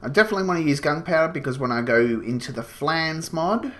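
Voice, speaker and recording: A man's voice: fairly high pitch, expressive, quick pace, noisy background. A man with a slight cold, sniffling as he gives casual, rambling gameplay commentary full of fillers. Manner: rambling, explains as he goes, many fillers. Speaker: gaming channel host.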